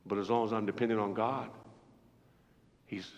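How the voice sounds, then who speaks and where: Male evangelist, 50s, from Iowa, animated storyteller, loud-to-quiet swings; live church sound, reverberant and muffled. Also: fairly low pitch, moderate pace. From Iowa, United States. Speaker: evangelist preacher. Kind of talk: sermon. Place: church sanctuary. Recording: echoey church hall, dull lo-fi live recording.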